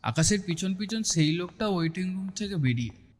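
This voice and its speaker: A man's voice: sits high, animated, fairly quick, slight background noise. A man giving a scripted narration of a horror story. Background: music.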